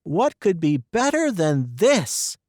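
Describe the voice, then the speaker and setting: A man voicing a cheerful, determined teenage girl in a storybook reading, lifting his voice high and eager. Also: very animated, a little slow, vocal fry, dry recording, clean studio sound, exaggerated voice. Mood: joyful. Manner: eager, bright, high-pitched girlish voice.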